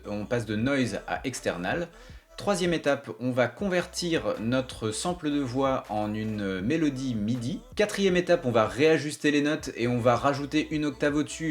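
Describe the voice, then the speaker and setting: A man talks through a software tutorial as its host. He explains each step in colloquial, informal language, as if showing a viewer how it is done. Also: mid-range voice. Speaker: music production tutorial host.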